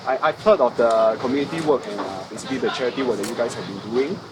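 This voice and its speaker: A man's voice: mid-range voice, quick pace, crowd noise. A man hosting a vlog, asking casual interview questions with some hesitation. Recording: far from the mic with loud background noise.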